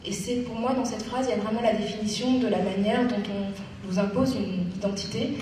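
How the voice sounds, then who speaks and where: A woman's voice: medium pitch, steady tone, warm tone, far from the mic, a little hiss or hum. Parisian woman speaking in an echoey theatre. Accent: Parisian French. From Paris, France. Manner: articulate, measured, with frequent hesitations. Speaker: debate guest, activist. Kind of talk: public debate on stage. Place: theatre stage. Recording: echoey hall, picked up from afar.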